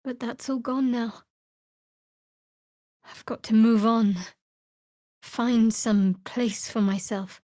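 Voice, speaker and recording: A woman playing a guarded, sardonic young cleric of a dark goddess in a video game, speaking in a dry, wry manner. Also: on the high side, fairly even intonation, slow, long pauses, no room sound. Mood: wistful, guarded. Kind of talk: video game character voice lines. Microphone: close studio microphone.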